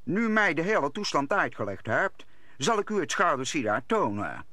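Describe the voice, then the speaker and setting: An actor playing an agitated, decisive duke in a radio play. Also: male voice, fairly high pitch, sing-song, normal speed, bright, forceful tone, no room sound. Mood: alarmed.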